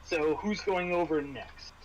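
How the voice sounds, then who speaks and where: A man's voice: on the high side, unhurried, lo-fi sound. A man acting as game master of a tabletop role-playing game, explaining rules and narrating the players' actions. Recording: faint background noise.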